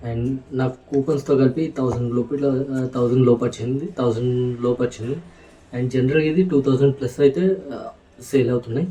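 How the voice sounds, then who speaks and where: A man with a Telugu accent doing a casual, unscripted product walkthrough in a hesitant, halting way, mixing in English words. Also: medium pitch, fairly even intonation, warm tone, poor audio. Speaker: vlogger presenting a product unboxing.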